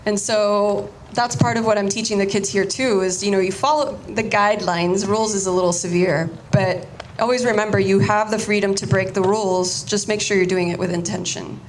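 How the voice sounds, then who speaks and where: A young woman talks informally to a room about her art, her voice at a middle pitch. She often circles back and restarts her sentences. A faint hiss of noise sits behind her. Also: fairly even intonation, fairly quick, hardly any pauses, low-quality recording. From United States, Cuban-American family. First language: Spanish. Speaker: artist giving a talk. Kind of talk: casual artist talk to an audience.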